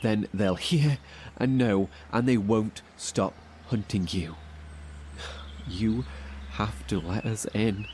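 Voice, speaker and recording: A man's voice: lowish voice, slow pace, darkish tone, slight background noise. An actor playing a menacing, mocking male captor in a horror audio drama, with a taunting, foul-mouthed manner.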